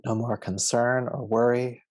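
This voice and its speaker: A man guiding a yoga nidra relaxation as a teacher, with a soothing, measured, instructive delivery. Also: medium pitch, level tone, a little mellow, no room sound. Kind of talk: guided relaxation meditation.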